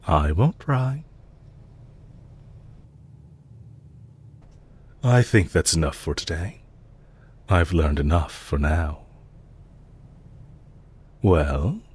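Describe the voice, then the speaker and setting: A man playing a suave, calculating villain leader in an intimate audio roleplay, speaking to the listener politely and softly, with measured, lightly teasing courtesy. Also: low voice, slightly rough, full character voice. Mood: teasing, amused.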